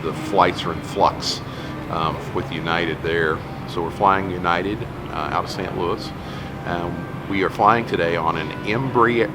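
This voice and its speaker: Deep-voiced older man vlogging from an airport. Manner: chatty, explanatory, frequent ums and uhs. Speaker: travel vlog host. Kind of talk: casual travel vlog narration. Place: airport terminal.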